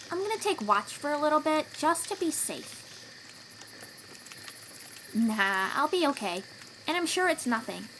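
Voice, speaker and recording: A woman playing a young, protective sea-dweller warrior in an audio roleplay, encouraging and gently teasing as she talks straight to the listener. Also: high voice, a little hiss or hum, poor audio. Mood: watchful, reassuring.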